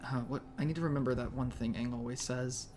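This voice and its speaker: A woman's voice: very deep voice, steady tone, fast talker, warm tone, faint music behind. A woman playing an earnest, easily wounded young exiled prince, defensive and awkward in improvised comedic banter.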